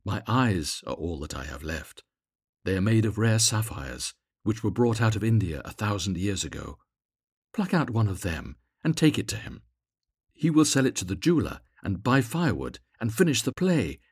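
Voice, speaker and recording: A man voicing a sorrowful, compassionate statue prince in a storybook, gently commanding and solemnly pleading. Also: lowish voice, sing-song, moderate pace, breathy edge, dry recording, clean studio sound, exaggerated voice.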